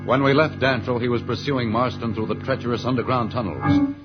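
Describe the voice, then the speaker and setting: A man's voice: mid-range voice, brisk. A man announcing and narrating an old-time radio serial in a dramatic, scripted style. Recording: muffled old radio recording. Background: loud organ music.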